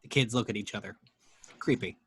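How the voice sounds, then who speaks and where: A man's voice: fairly low pitch, brisk, husky. A man narrating the action lines of a screenplay table read, with wry, playful asides.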